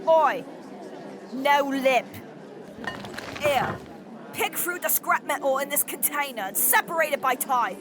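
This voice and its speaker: Shrill, bossy workhouse overseer. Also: female voice, a little slow, pauses often, crowd noise, background noise. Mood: stern, bossy. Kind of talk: audio drama acting.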